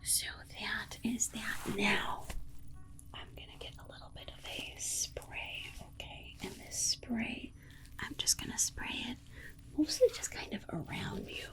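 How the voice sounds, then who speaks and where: A woman playing a polite, condescending alien scientist in an ASMR roleplay, whispering in a soothing, coaxing manner with breathy delivery. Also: on the high side, darkish tone, rough recording. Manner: soothing, coaxing, hesitant asides. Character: alien scientist, polite, reassuring, breezily condescending toward humans.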